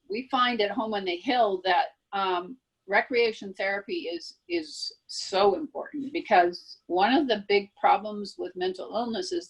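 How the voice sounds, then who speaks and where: A woman's voice: mid-range voice, vocal fry, husky, low-quality recording. A woman from a supportive housing organization speaking on a radio interview, explaining steadily with small restarts. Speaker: supportive housing staff, co-host.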